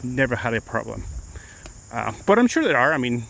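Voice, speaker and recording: A man's voice: medium pitch, very animated, fairly quick, gravelly, a bit bright, slight background noise, poor audio. A middle-aged Mexican-born man with a Mexican accent, chatting loosely on a live stream, rambling with many restarts as he walks outdoors. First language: Spanish. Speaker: attorney vlogger. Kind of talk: casual live-stream chat. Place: outdoors on a morning walk.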